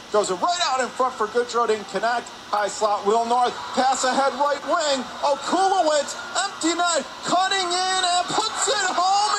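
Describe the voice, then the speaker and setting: A man doing excitable live radio play-by-play of a hockey game, shouting on big plays. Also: very high-pitched. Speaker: play-by-play announcer. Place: indoor ice hockey arena. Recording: noisy arena broadcast with rink ambience. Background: loud ambient arena noise.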